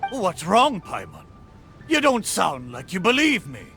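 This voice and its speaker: A man's voice: high-pitched, wildly swooping pitch, a little slow, sharp tone, faint music behind, exaggerated voice. A man voicing a boastful, good-humored spirit of an old pirate ship in a video game, talking in hearty, swaggering pirate style. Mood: jovial, confident. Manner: hearty, bragging, swaggering pirate talk with "arr". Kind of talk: video game character acting.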